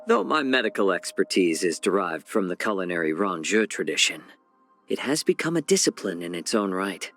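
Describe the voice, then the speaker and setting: An actor voicing a genial, sly fox-man healer and chef in a video game, speaking softly and smoothly in a measured, gently teasing way. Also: low voice, sing-song, soft background music, full character voice. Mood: wry, genial. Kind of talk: scripted game character voice lines.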